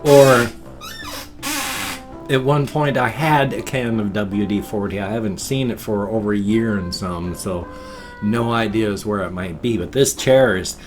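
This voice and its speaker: A man's voice: lowish voice, a little slow, soft background music. An older man, hosting a rambling, chatty morning vlog from his home desk, sounding nasal and congested with a slight wheeze. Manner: folksy, chatty, meandering. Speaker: vlog host. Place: small home room at a desk.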